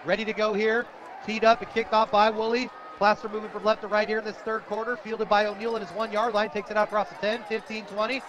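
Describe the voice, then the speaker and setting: A man calling live football play-by-play on the radio in an energetic, excitable way. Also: sits high, fast talker, lo-fi sound. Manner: energetic, rises to shouts on big plays. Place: outdoor high school football stadium broadcast position. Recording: loud crowd and noisy outdoor stadium. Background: crowd noise.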